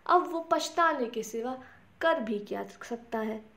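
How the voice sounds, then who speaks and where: A woman's voice: a little high, expressive, slow, creaky, a bit bright. A woman narrating a scripted bedtime story for children, speaking to them directly in simple words. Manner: addresses small children directly with simple questions and repeated words.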